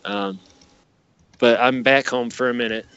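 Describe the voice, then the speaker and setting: A man's voice: medium pitch, steady tone, a little slow, a bit bright, smooth. A man from the United States talking casually as a podcast guest over a lo-fi connection. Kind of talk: casual interview talk.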